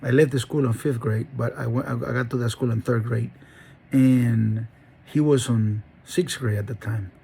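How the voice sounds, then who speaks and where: A man's voice: mid-range voice, steady tone, moderate pace, vocal fry, warm tone, husky. A middle-aged man from Honduras, telling his life story in an earnest, rambling monologue full of restarts. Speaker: dog breeder and channel host. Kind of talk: personal monologue.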